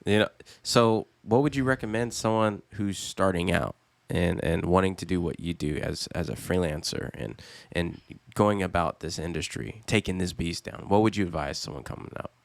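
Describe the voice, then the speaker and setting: A man's voice: low-pitched, moderate pace, vocal fry, no echo. A man hosting an interview podcast who asks questions casually, hesitating and restarting often while encouraging his guest. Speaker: podcast host.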